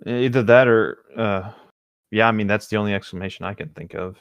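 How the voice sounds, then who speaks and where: A man co-hosting a comedic story reading, giving casual commentary in a deadpan, laconic way. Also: on the low side, a little fast, clear, resonant tone, no room sound. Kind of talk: casual commentary and read-aloud.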